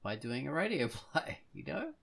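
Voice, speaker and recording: A man's voice: medium pitch, sing-song, moderate pace, creaky, a bit bright. A man chatting casually and enthusiastically as a podcast co-host in an informal way.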